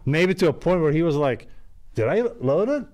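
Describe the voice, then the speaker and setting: A man's voice: a little high, lively intonation, moderate pace, a little mellow. A middle-aged man from Belgium sits at a studio microphone telling a long, loose story as a podcast guest. His delivery keeps wandering, and he leans on "you know" between the beats of the anecdote. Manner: rambling anecdotes with frequent "you know" and laughs. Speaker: guest musician. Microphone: studio mic on boom arm.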